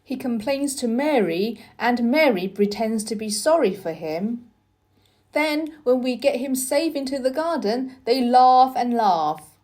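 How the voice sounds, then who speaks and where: A woman reading the part of a cheerful, loyal country boy in a storybook, in a lively, explanatory manner. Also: on the high side, unhurried. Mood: confiding.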